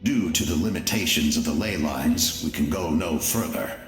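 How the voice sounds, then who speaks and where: A man voicing a grave, ruthless ancient warrior in a video game, with measured, formal and solemn delivery. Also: rumbling low, roomy sound, soft background music. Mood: cold, resolute. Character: grave, ruthless ancient warrior, a living story of a loyal second in command. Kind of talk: dramatic video game voice acting.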